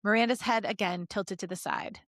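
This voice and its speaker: A woman podcast host reading fan fiction aloud as an expressive story narrator. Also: medium pitch, a little fast, dry recording, studio quality.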